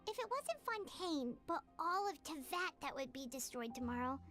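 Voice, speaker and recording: A woman voicing a small, chirpy, childlike female fairy companion in an anime game, curious and earnest, with a squeaky delivery. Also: very animated, normal speed, fairly bright tone. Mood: thoughtful. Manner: refers to self in third person, squeaky. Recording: background music under the voice.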